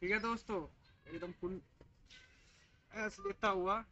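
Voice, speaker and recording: A young man from India with a high, very bright and forceful voice talks playfully to his viewers outside in a park. The sound is dull and lo-fi from a handheld phone, with faint noise in the open air behind him. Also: steady tone, unhurried. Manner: chatty, playful, addresses viewers as friends. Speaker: vlogger. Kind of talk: casual vlog chat. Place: outdoor park with gym equipment. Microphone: handheld phone camera, moving around.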